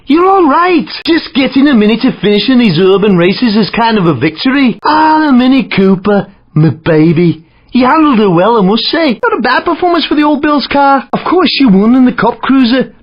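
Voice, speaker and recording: A laid-back British bloke comments on races in a video game. His voice is husky and rough, his talk chatty and colloquial. The recording is a little dull and lo-fi. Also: extremely high pitch, full character voice. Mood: chatty, cheeky. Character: laid-back, slangy British bloke, city cruising guide. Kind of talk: casual racing game commentary. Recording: slightly dull lo-fi game audio.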